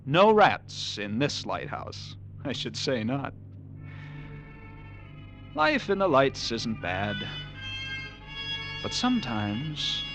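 An actor in an old radio drama playing a nervous, wry lighthouse keeper telling a grim tale with uneasy pauses. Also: male voice, medium pitch, low-quality recording. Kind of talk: 1940s-50s radio drama acting. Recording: vintage radio broadcast with orchestral music.

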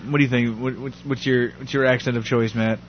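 A man's voice: mid-range voice, little pitch movement, brisk, slight background noise. A man co-hosting a podcast, rambling and joking in a casual chat. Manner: rambling, joking, laughs often. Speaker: podcast co-host. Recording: dull lo-fi audio.

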